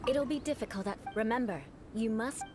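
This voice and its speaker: A woman's voice: a little high, fairly quick, close to an everyday voice. A woman playing a composed, haughty young noblewoman trainer in a video game, speaking formally and with poise. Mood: brisk instruction. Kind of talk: scripted video game voice acting. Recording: loud game music behind. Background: game music.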